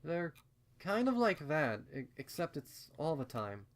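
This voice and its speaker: A man voicing an earnest, anxious female pony in a storybook reading, with halting, stammering, insistent delivery. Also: fairly high pitch, big pitch swings. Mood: uneasy, insistent. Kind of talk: acted storybook dialogue in a fan fiction reading.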